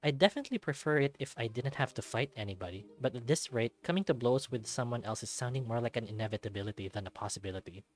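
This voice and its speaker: A man reading a visual novel aloud in an expressive way, dropping in casual asides of his own. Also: medium pitch, quick pace, few pauses.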